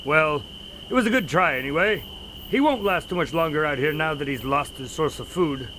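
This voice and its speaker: A man playing an earnest, observant doctor who investigates a case, speaking in a measured, explanatory way in an audio drama. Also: a little high, animated, pushed and bright, a little hiss or hum.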